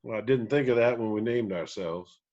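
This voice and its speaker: An actor playing a gruff, easygoing hippie band singer in his late fifties in a screenplay table read, with folksy phrasing. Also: mid-range voice, moderate pace, a little mellow, highs cut off, close to an everyday voice. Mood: wry, folksy.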